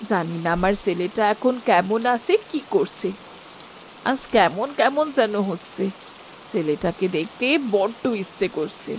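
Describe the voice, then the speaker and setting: Woman playing a wistful, mid-pitched devoted mother. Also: lively intonation, measured pace, slight background noise, natural voice. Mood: worried, longing. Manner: wistful, talking to herself. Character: longing, devoted village mother of a prince.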